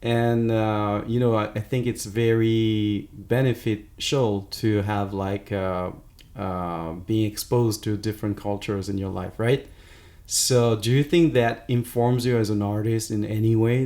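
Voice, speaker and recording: A man with a French accent hosting a podcast, talking in an animated, chatty way with frequent restarts. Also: fairly low pitch, dry recording. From France. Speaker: podcast host. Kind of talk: casual interview chat.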